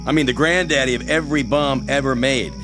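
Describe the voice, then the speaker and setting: A man's voice: medium pitch, a little fast, music in the background, natural voice. Plain-spoken army messenger officer male actor. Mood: excited news-bearing. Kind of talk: radio drama acting.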